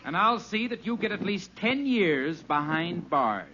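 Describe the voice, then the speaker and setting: High-voiced, stern, impatient gentleman. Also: big pitch swings, measured pace. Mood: indignant. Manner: brusque, clipped, threatening. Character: stern, impatient gentleman demanding his property. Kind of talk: 1940s-50s radio comedy acting. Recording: muffled, very noisy old radio recording.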